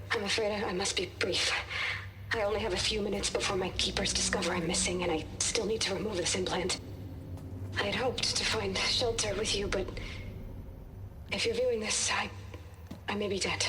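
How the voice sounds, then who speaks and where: An actress playing a frightened, apologetic young woman fugitive in a video game, speaking haltingly in formal words. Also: mid-range voice, background noise, lightly acted. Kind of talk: video game cutscene acting.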